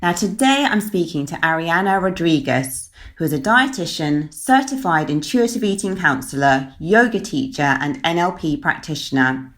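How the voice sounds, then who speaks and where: A woman hosting a podcast as an eating disorder therapist, reading a scripted intro and then chatting warmly with a guest, laughing often. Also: fairly low pitch, lively intonation, moderate pace, hardly any pauses.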